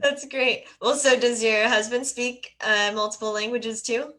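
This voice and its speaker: A woman's voice: a little high, fairly even intonation, unhurried, a bit bright. A woman hosting a casual video call interview, encouraging her guest with frequent short reactions. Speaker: host.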